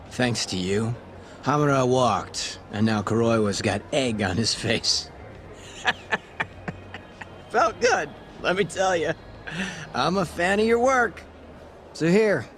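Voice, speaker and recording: A cocky dirty detective in a game cutscene, sly and wry. His middle-pitched voice swings freely as he teases, with faint noise behind him. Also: big pitch swings, slow pace, pauses often, a bit bright. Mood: sly, conspiratorial. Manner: breezy, cocky, wry, teasing. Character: smug, sly corrupt male police detective selling information. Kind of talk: English video game dub cutscene acting.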